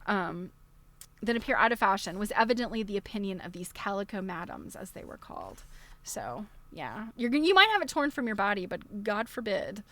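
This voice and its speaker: Female livestream host, medium pitch, informal and digressive, mixing book read-aloud with easily amused commentary. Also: very animated, brisk, a bit bright. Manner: chatty, digressive, often breaking into chuckles.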